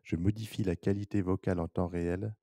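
A young man repeating a scripted demonstration sentence in a measured monotone, his voice processed through a real-time vocoder. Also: low-pitched, dry recording. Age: young adult. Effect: real-time vocoder voice modification. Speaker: demonstrator of voice software. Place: small office or lab room, indoors. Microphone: headset microphone.

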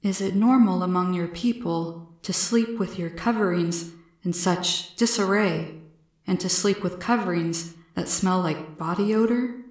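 A woman's voice: fairly low pitch, unhurried, a little mellow, echoey room, close to an everyday voice. A woman voicing a curious, blunt but polite male alien with formal, literal phrasing in a storybook. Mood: gently inquiring. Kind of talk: storybook character voice.

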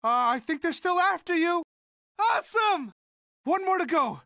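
A man's voice: very high voice, lively intonation, measured pace, pushed and bright, no echo, clean studio sound, exaggerated voice. A man voicing a timid, sweet, eager young student monster in a video game, boyish, polite, earnest and hesitant. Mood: encouraging, excited. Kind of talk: video game character voice lines.

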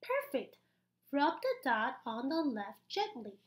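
High, animated young woman reading to children. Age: young adult. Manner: animated, exaggerated, encouraging, with audible gasps and pauses. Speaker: story-time host reading a picture book aloud. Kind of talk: interactive read-aloud for young children. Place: small indoor room.

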